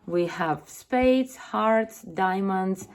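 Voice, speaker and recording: A woman's voice: medium pitch, very slow. A woman in her thirties from Russia, earnestly explaining a deck of cards to camera, with frequent fillers and restarts. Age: 30s. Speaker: card reader presenting her deck. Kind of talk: casual spoken explanation to camera.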